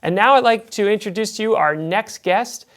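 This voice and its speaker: A man's voice: high voice, moderate pace, a bit bright. A man hosting a TV talk show, introducing guests and reading a countdown list in an upbeat announcer style.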